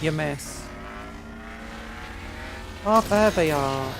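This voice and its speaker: A woman's voice: low-pitched, animated, drawn-out words, creaky, noisy background. A British woman giving casual, playful, chatty gameplay commentary as a streamer. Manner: playful, chatty, talking to the game. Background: game audio and music.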